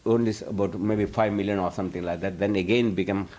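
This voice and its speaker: Low-voiced man giving a rambling lecture. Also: steady tone, fast, mellow. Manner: chuckles often, rambling with frequent restarts. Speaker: spiritual teacher answering audience questions. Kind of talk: informal lecture Q&A. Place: live talk before an audience.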